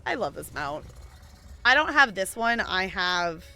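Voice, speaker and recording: A woman chats her way through a gaming stream, rambling from one thought to the next with plenty of fillers. Her voice has a bright, clear edge and sits at an ordinary pitch for a woman. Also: big pitch swings, a little slow. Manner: rambling, chatty, frequent "like" and laughs. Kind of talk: casual gaming stream chat.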